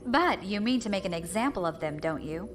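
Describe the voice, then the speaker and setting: A woman's voice: medium pitch, animated, brisk, faint music behind, close to an everyday voice. A woman playing a defiant, commanding rebel fleet leader in an audio drama. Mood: shrewd. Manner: commanding, composed.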